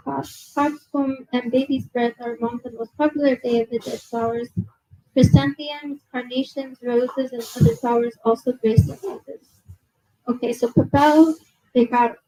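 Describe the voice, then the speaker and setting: A young woman giving a nervous museum presentation to an audience, reading from notes with many fillers and apologies, her soft low voice held away from a handheld mic indoors. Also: breathy edge, rough recording. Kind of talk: reading prepared talk to a live audience. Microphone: handheld mic held at a distance.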